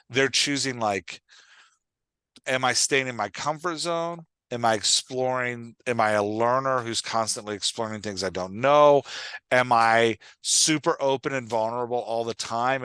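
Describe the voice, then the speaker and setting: A man with a bright, forward voice and a mid-range pitch talks like a coach on a podcast. He explains in a conversational, instructive way, thinking aloud and checking for understanding. The sound is close and dry. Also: normal speed, clear, resonant tone, no room sound. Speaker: podcast host and writing coach.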